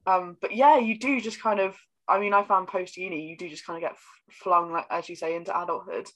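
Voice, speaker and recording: A woman's voice: on the low side, steady tone, brisk, poor audio. A woman, co-hosting a casual podcast, teasing and rambling with many fillers. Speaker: podcast co-host. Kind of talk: casual chat.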